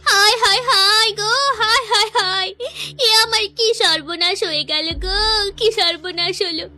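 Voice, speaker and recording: A woman voicing a scheming, two-faced village wife in a melodramatic cartoon, sharp-tongued and theatrical. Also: very high-pitched, steady tone, normal speed, faint music behind, full character voice. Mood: fake wailing.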